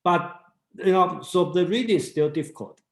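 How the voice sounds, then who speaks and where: Male group leader, Mandarin native, halting delivery, roomy echo. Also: sits high, animated. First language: Mandarin Chinese. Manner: halting, many restarts and fillers. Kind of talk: casual seminar talk.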